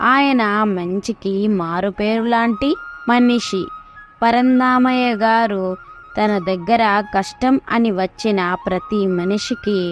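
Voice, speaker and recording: High-voiced woman storyteller over music. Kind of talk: scripted story narration. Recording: music behind the voice. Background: background music.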